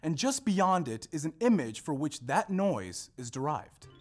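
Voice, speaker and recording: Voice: medium pitch, big pitch swings, measured pace, faint music behind. A performer narrating a scripted readers theater piece, presenting quotations and linking ideas. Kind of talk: scripted narration, staged reading of a research presentation.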